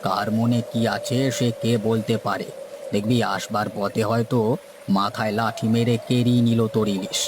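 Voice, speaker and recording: A man's voice: fairly low pitch, level tone, normal speed, close to an everyday voice. Man playing a bossy cartoon boatman over background noise. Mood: cautioning, commanding. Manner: folksy, assertive, lecturing. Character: seasoned, boastful, bossy village boatman and fisherman. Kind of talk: cartoon story dialogue.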